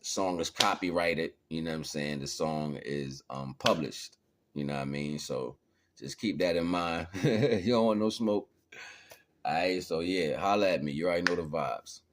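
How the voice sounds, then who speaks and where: A man's voice: low-pitched, moderate pace. An American man chatting casually on a livestream as a musician, slangy and laid-back. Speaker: streamer and musician presenting own song.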